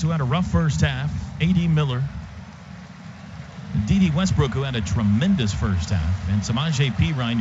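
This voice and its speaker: A man calling a live football game as a radio play-by-play announcer, in clipped, rhythmic phrases. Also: on the high side. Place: outdoor stadium radio booth. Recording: muffled radio feed, loud stadium noise.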